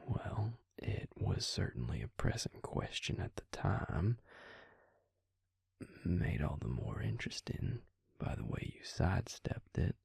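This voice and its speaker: A very husky, low-voiced vampire with a Southern drawl, acted by a man, murmurs close and dry in an intimate audio roleplay. He is gentle and protective, with a teasing charm, and takes his time over every phrase. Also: sing-song, dry recording, heavily acted. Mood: wry, curious. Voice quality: breathy, gravelly. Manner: unhurried, soothing, half-whispered. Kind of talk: intimate scripted audio roleplay, addressing the listener.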